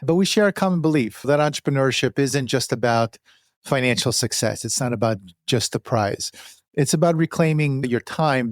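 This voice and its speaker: A man hosting an interview podcast, speaking earnestly in deliberate, emphatic phrases. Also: medium pitch, dry recording, clean studio sound. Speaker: podcast host.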